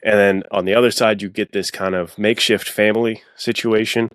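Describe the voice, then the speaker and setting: A man's voice: on the low side, little pitch movement, brisk, a bit bright, dry recording. A man talking as a guest author in a casual, slangy podcast chat. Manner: informal, slangy.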